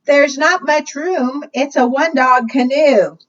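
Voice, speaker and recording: A woman's voice: high voice, unhurried, voice pushed up. A woman voicing a reluctant storybook girl in a canoe, doubtful and firm as she turns others away. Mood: reluctant, exasperated. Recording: distant, dull lo-fi microphone sound.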